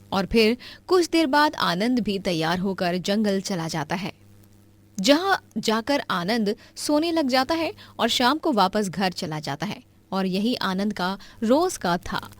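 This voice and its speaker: A woman narrates a scripted cartoon story as its storyteller. Her voice sits at an ordinary female pitch.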